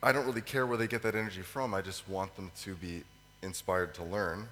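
A man preaches through a cold, his voice hoarse and fading. He talks in a fairly low register, halting with ums and pausing to clear his throat. The sermon rings through a reverberant hall over a faint hum. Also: steady tone, pushed and bright. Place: church hall. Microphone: microphone.

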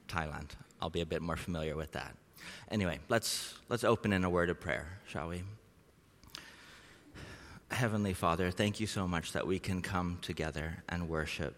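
A man's voice: sits low, normal speed, a bit bright. A middle-aged man, a missionary, preaching in an informal, chatty, conversational way with prayer and storytelling. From Norway and Southeast Asia. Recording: long hall reverb.

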